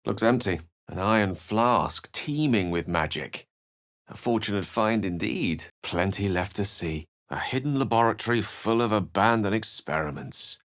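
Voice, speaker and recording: A curious, scholarly wizard with a deep, low voice. He comments wryly on traps, corpses and magic as he explores, articulate and understated. Game voice-line delivery. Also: expressive, normal speed, a bit bright. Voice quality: dry wit, wry and articulate. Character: witty, curious male wizard adventurer, scholarly. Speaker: companion wizard character. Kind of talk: video game voice lines.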